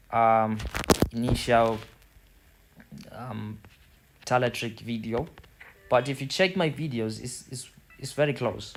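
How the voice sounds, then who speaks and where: A man's voice: mid-range voice, slight background noise, poor audio. Zimbabwean man talking through a game tutorial. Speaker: gaming video host. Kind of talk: casual tutorial commentary over football game screen.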